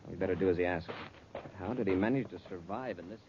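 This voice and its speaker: Casting: authoritative American space commander, quick orders, lower register, vintage radio drama on a dull recording. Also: male voice, noisy background. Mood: composed, interested. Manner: crisp, authoritative, giving orders. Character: commanding, decisive space patrol commander, adult man. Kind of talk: 1950s radio serial acting.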